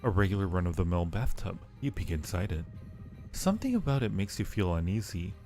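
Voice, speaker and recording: Guy w a lowish voice doing a horror game stream, chatty n playful, reads the text out, loud game music behind him. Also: sing-song, fairly quick. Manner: chatty, playful, mutters to himself and addresses viewers. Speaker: live streamer. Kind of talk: horror game livestream commentary, reading on-screen text aloud.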